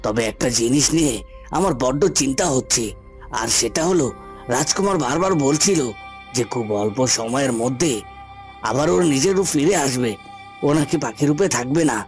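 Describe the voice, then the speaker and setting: A man playing a scheming, hot-tempered palace villain in a cartoon fairy tale, speaking in deliberate phrases with emphatic repetition. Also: medium pitch, lively intonation, faint music behind, natural voice. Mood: brooding, calculating. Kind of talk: Bengali cartoon drama.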